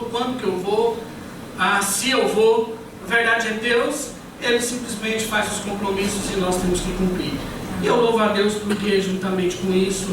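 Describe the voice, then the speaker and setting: A middle-aged man with a Goiás Brazilian accent, preaching as a guest pastor in a relaxed, conversational way with many fillers. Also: high-pitched, distant mic. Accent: Goiás Brazilian Portuguese. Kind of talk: church sermon. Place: church hall. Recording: echoey church hall, picked up from afar, noisy.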